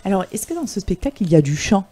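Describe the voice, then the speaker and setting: A woman's voice: medium pitch, big pitch swings, very fast, mellow. A woman hosting a radio interview, polite and hesitant with many fillers. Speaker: radio host.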